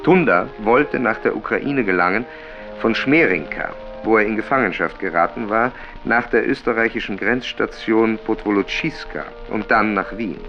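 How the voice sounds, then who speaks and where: A man reading literary prose in a radio play, in a measured, descriptive way. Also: on the low side, dull audio, close to an everyday voice. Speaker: reader of reportage and novel prose. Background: music.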